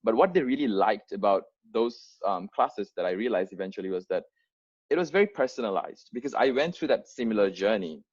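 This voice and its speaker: A young Bangladeshi-born man with a lowish voice speaks earnestly, often restarting his sentences. His English carries a first-language influence. The sound is muffled and lo-fi, like video call audio. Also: expressive, brisk, husky. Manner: earnest, frequent fillers and restarts. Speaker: founder giving an onboarding talk. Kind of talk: unscripted presentation to volunteers.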